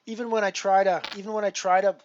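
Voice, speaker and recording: A man's voice: sits high, flat delivery, fast. A man in his fifties with a Canadian accent from Alberta, casually commentating his poker stream and greeting viewers by name. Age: 50s. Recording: dull lo-fi stream audio with faint noise.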